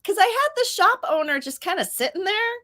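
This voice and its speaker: A young woman hosting a writing stream in casual chat, telling stories lively and acting out quoted speech with big jumps in pitch. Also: a little fast.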